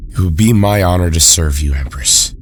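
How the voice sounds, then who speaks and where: A sinister armored warrior voiced by a man speaks in an extremely deep voice. He is a solemn, obedient servant of evil in an audio drama, with faint noise behind him. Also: moderate pace, natural voice. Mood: cold devotion. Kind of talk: audio drama villain acting.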